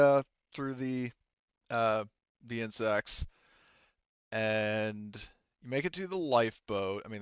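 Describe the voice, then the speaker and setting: A man's voice: medium pitch, fairly bright tone, smooth, no room sound, lo-fi sound. A man acting as game master, casually narrating a tabletop game in an informal, joking way with some swearing.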